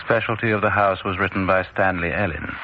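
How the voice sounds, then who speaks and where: A man narrating a radio drama in a measured, grave manner, on a dull, noisy old radio recording. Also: sits low.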